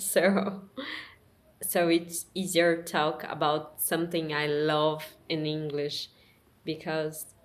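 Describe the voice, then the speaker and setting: Woman, halting English monologue, very slow and soft-spoken. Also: on the low side. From Brazil. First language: Portuguese. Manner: halting, searching for words, many pauses and restarts. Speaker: vlogger. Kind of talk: personal reflective monologue.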